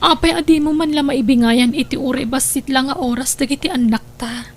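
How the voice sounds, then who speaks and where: A woman's voice: high voice, fairly quick, slight background noise, lightly acted. A woman with an Ilocano Filipino accent acting a suspicious, hurt wife and mother in an audio drama. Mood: hurt, accusing.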